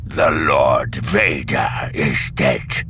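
A man voicing a stern, contemptuous male alien clan elder with a gravelly snarl and a commanding, menacing manner in an audiobook story. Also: extremely low pitch, very slow, raised voice, background noise, pitched below the performer's own voice, exaggerated voice. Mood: cold, commanding.

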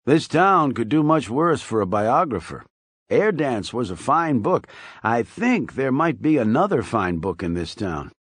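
Guy voicing an older teacher, calm and polite, lively pitch. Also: a little slow, husky, no room sound. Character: older male schoolteacher, calm and courteous.

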